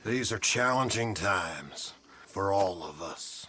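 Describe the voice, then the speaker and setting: An actor playing a kindly, troubled middle-aged reverend in a video game, speaking politely and hesitantly. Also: male voice, low voice, lively intonation, slow pace, sharp tone, exaggerated voice. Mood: timid concern. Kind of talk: video game cinematic acting.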